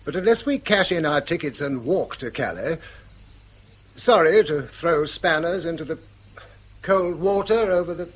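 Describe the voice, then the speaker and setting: A man's voice: fairly high pitch, animated, measured pace, breathy edge. A man with an English accent playing a dry, sardonic schoolmaster in a radio drama, clipped and given to wry understatement. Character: dry, sardonic schoolmaster husband, short of money. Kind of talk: British radio drama acting.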